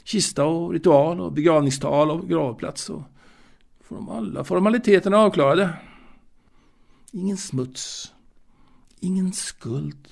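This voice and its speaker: An actor playing a lonely, melancholy ageing waiter in an intimate audio drama monologue, halting and reflective, repeating himself and pausing. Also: male voice, a little high, gravelly. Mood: resigned. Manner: halting, reflective, fussy, with repetitions and pauses. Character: lonely, melancholy ageing waiter reminiscing about his late mother.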